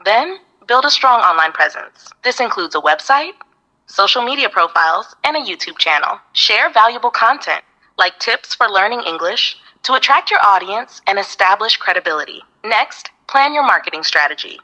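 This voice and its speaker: Synthetic woman, AI assistant voice; bright tone, upbeat, evenly paced lists. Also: on the high side, lively intonation, normal speed, low-quality recording. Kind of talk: scripted advice reading.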